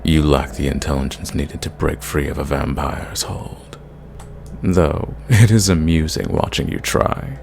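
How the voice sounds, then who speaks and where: Sadistic old vampire master, played by a man: bottomless pitch, unhurried delivery, silky condescension, ASMR roleplay intimacy. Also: darkish tone, slight background noise, exaggerated voice. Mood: taunting, hungry. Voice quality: deep, gravelly. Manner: theatrical, condescending, savoring words, dropping into breathy whispers. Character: sadistic, possessive centuries-old male vampire, silky and menacing.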